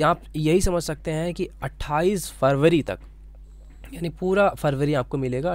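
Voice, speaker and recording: A man's voice: medium pitch, darkish tone, no echo. A man teaching informally as an explainer, repeating points and often checking in with short tags. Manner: repetitive, checking in with "okay" tags. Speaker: teacher explaining an exam notification.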